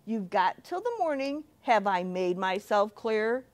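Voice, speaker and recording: A woman's voice: mid-range voice, sing-song, measured pace. A woman voicing a stern, bossy female witch in a storybook reading, scolding in a firm tone.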